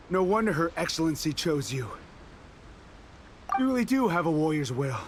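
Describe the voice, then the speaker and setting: Hot-blooded, high-voiced rebel soldier. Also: male voice, sing-song, normal speed, noisy background. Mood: confiding. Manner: impassioned, challenging. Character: hot-blooded, bitter male resistance soldier, brash and defiant. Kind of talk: video game voice acting. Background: music.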